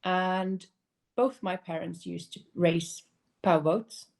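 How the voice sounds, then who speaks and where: A young woman from Norway, a guest on a podcast interview, talking casually and relaxed, laughing easily and restarting her sentences now and then. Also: lowish voice, takes it slowly, creaky. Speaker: guest, powerboat racer.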